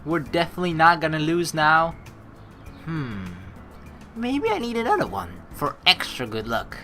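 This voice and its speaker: Low-voiced, expressive woman gamer. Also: normal speed, soft background music. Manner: chatty, slangy, expressive reactions. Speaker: let's play host. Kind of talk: gaming commentary, reading story text aloud.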